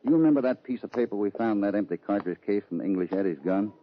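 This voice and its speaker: A man playing a level-headed, decisive cowboy hero in an old radio western, calm, commanding and plain-spoken. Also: fairly low pitch, quick pace, lightly acted. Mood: thoughtful, intent. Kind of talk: 1950s radio western acting. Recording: noisy, muffled old-time radio recording.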